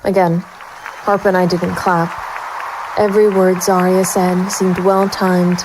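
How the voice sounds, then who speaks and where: Woman as even-toned gritty female private investigator. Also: fairly low pitch, measured pace, noisy background, close to an everyday voice. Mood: wary, cynical. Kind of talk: first-person noir narration in audio drama.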